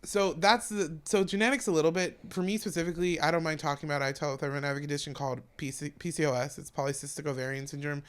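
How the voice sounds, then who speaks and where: A woman with a deep voice, a stand-up comedian talking frankly as a podcast guest in casual conversation. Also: fairly even intonation. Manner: frank, talks fast in long run-on explanations.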